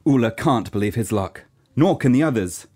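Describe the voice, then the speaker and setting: A man's voice: medium pitch, lively intonation, moderate pace, darkish tone. A man from Norway narrating a scripted radio play in a theatrical, storytelling way.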